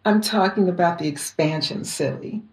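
An actress playing a seductive, scheming rich wife in a theatrical, coaxing audio drama style. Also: female voice, lowish voice, moderate pace, close to an everyday voice. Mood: coaxing, sweet. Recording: roomy echo, faint music behind.

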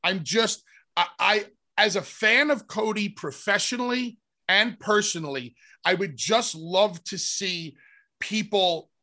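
Bright high-voiced male show host. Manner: conversational, hedging, moderating the debate. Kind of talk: casual sports talk.

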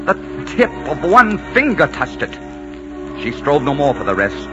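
A man's voice: a little high, huge pitch swings, normal speed. A man playing a solemn, romantic storyteller, reciting ballad verse theatrically in 1940s radio style. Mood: solemn suspense. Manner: theatrical, incantatory. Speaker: narrator. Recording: muffled 1940s radio recording with loud music. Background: music.

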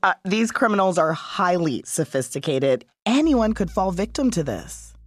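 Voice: medium pitch, very animated, moderate pace, dry recording. A host guiding an interview in a conversational, presenterly style.

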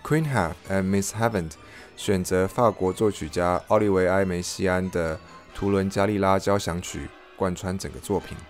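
A man's voice: sits low, steady tone, moderate pace, faint music behind. A man hosting an audio log, reading a scripted first-person review as narration. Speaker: podcast host, reviewer.

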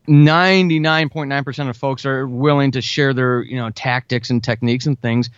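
A man's voice: mid-range voice, steady tone, normal speed, clean tone, dry recording, muffled recording. A man chatting informally and earnestly as an interview guest, full of enthusiasm for his craft. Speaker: interview guest, audio drama writer. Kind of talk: casual chat.

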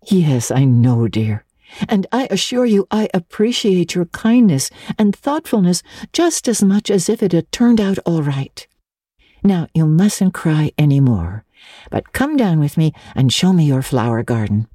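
A woman reading a gentle, kindly minister's wife. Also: lowish voice, big pitch swings, unhurried, husky, no room sound, clean studio sound, voice pushed up, exaggerated voice. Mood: soothing. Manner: soothing, reassuring.

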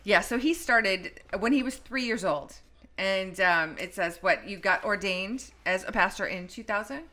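Bright, playful female co-host. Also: medium pitch, normal speed. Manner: warm, laughing, playful. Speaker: podcast co-host. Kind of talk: casual chat.

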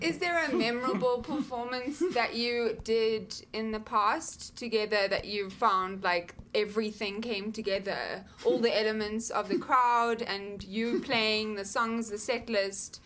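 A woman's voice: a little high, steady tone, unhurried, few pauses, fairly bright tone, a little hiss or hum. A woman hosting a casual podcast interview, asking playful questions and laughing often. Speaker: podcast host.

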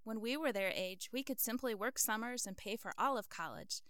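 A woman's voice: on the high side, normal speed, a bit bright, no echo, studio quality, natural voice. A woman playing a frank older wife in an audio drama, speaking in an earnest, lecturing way. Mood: earnest, reproving.

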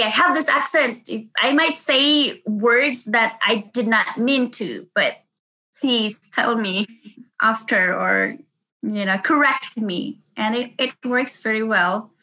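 A woman with a Filipino accent talks in an interview about her work as a nurse. Her tone is bright and clear, and she speaks earnestly and often restarts her sentences. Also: fairly high pitch, poor audio. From Philippines. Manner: earnest, with frequent restarts and laughs. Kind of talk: casual interview.

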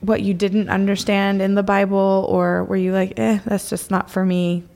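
A woman's voice: mid-range voice, little pitch movement, normal speed, creaky, a little mellow. A woman co-hosting a faith podcast, asking questions and encouraging the guest with frequent affirmations. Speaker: podcast co-host. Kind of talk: casual interview chat. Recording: music bed underneath.

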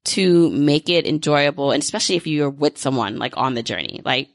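A woman's voice: low voice, a little fast, no echo, clean studio sound. A woman in her thirties hosting a podcast, talking in an upbeat, chatty way and often leaning on "like". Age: 30s. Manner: upbeat, chatty, many "like" fillers. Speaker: podcast host. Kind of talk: casual interview and outro.

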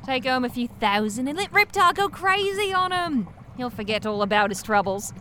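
A woman's voice: high-pitched, big pitch swings, moderate pace, pushed and bright, full character voice. A woman playing a brash, foul-mouthed pet-shop worker with a blunt, sardonic manner in an audio drama. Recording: clear background noise.

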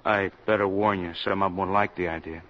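A man's voice: sits low, fairly even intonation, a little fast, a bit bright. A man playing an uneasy, deferential American working man in a 1950s radio drama. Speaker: actor. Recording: dull, noisy vintage 1950s radio recording.

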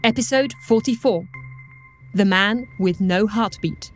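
A woman's voice: mid-range voice, measured pace. A woman reading scripted opening credits and the episode title as an announcer. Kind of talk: scripted narration. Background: music.

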